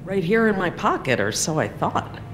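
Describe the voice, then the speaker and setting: A woman's voice: fairly low pitch, very animated, normal speed. A woman playing a composed, weary female scientist in a video game, explaining things precisely. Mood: distracted, weary. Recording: long hall reverb, faint music.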